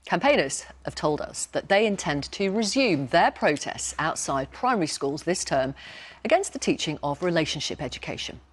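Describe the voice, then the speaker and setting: A woman presenting live current affairs in a studio, in a measured, clearly signposted delivery. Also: deep voice, very animated, faint music behind. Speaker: television presenter. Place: television studio.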